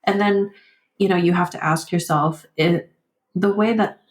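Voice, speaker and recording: A woman whose first language is Vietnamese, talking candidly and reflectively in an interview, halting and often saying "like" and "you know". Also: on the low side, steady tone, roomy sound. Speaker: podcast guest.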